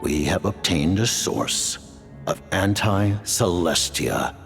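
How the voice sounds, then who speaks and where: A deep gravelly stern commander. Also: male voice, exaggerated voice. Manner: measured, formal, grave. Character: stern, formal highest-ranking male commander. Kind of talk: video game voice acting. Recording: game audio with music.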